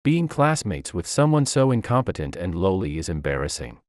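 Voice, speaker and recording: A man's voice: low voice, big pitch swings, normal speed, a little mellow, no echo, clean studio sound. A man voicing an arrogant, mocking banker's son who boasts and belittles others in a narrated story. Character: arrogant adult male bully, sneering banker's son.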